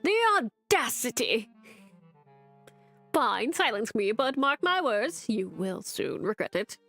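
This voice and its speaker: A woman's voice: on the high side, big pitch swings, slow, a bit bright, soft background music, exaggerated voice. A woman voicing a pompous, grumpy talking book with a haughty, theatrical put-on accent. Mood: indignant.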